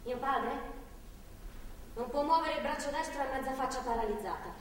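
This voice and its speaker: A woman's voice: high voice, normal speed, lightly acted. An actress playing a defiant, desperate young woman held captive, in theatrical drama with a bitter, confrontational delivery. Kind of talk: theatrical stage and radio drama acting. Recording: distant, echoey hall, faint noise.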